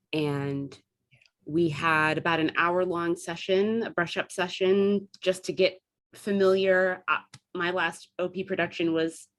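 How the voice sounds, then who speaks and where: A woman chatting casually as an interview guest, explaining things in a talkative way and thinking aloud as she goes. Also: lowish voice. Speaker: guest, theatre artist and classical actor.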